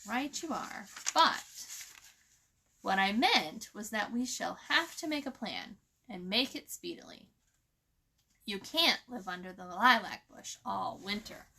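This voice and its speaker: A woman's voice: medium pitch, animated, slow, creaky, bright, forceful tone, full character voice, poor audio. A woman voicing a smug, gossipy male country crow with a folksy, teasing manner, in a storybook reading. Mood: smirking, persuasive. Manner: folksy, teasing, smirking persuasion.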